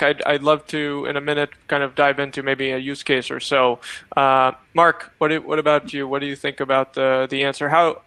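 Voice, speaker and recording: Bright-voiced male panel moderator. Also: mid-range voice, clear, resonant tone, no room sound. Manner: upbeat, steering the talk with questions. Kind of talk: hosting a panel discussion.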